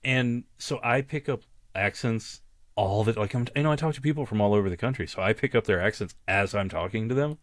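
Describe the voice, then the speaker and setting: A man's voice: lowish voice, fairly quick, no echo, studio quality. A man with a mild Texan accent co-hosting a podcast, chatty and laughing often. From Texas. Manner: laughs a lot, eager reactions. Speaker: podcast co-host. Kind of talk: casual chat.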